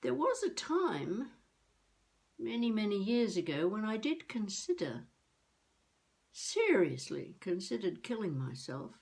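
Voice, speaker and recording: A woman playing a feisty elderly would-be novelist, rambling wryly and haltingly through a comic monologue as a video diary. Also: medium pitch, big pitch swings, gravelly, darkish tone. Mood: reminiscing. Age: older. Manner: wry, musing, halting, talking to herself.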